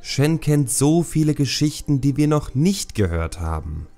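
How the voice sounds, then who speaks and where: Panda child, done by a man: raised pitch, lively swings, whiny, impatient, pleading, music underneath. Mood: bored, annoyed. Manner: pleading, drawn-out, exasperated. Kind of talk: audiobook character acting.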